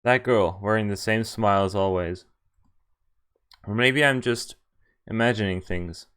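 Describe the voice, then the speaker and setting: A man streaming a visual novel, reading its text aloud and chatting in a halting, thinking-aloud way. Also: on the low side, fairly bright tone, smooth.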